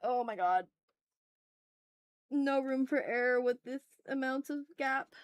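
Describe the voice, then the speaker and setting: A woman's voice: high-pitched, slow, smooth. A woman hosting a live art stream, chatting casually and talking herself through her work with repeated short phrases and mild exclamations. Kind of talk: casual livestream chat, muttering to self while drawing.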